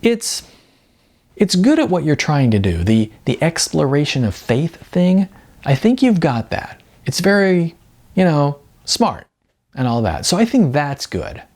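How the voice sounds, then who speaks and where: A bubbly young woman, an aspiring actress in an audiobook story, acted out by the narrator. She is blunt but supportive, with swooping, animated delivery and a slightly rough edge. She teases freely. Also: mid-range voice, unhurried, voice pushed up. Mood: hedging, tactful. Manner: animated, casual, hedging in bursts.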